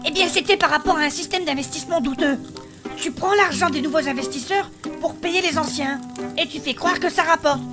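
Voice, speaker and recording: A woman playing a gentle, sensible fantasy adventurer in a comic audio drama, polite, measured and mildly chiding. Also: sits high, close to an everyday voice. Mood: explaining. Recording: music behind the voice.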